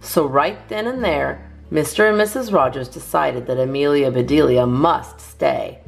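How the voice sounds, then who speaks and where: A woman narrating a children's storybook as a storytime host, in an expressive read-aloud style, over music. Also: low-pitched, a little slow, gravelly. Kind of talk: animated children's storybook reading. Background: soft plucked guitar music.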